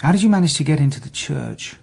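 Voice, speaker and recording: An actor playing a calm, dry senior detective in a radio drama, husky and understated. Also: male voice, fairly high pitch, quick pace, heavily acted. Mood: calm, gently probing. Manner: understated, gently probing. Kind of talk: naturalistic radio drama acting.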